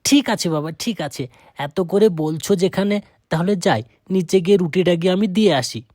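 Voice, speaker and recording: A man voicing a cheeky, clever young village boy in a cartoon, excitable and repeating words urgently. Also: high voice, brisk, dry recording, studio quality. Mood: obliging. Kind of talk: cartoon acting. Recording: loud music under the voice.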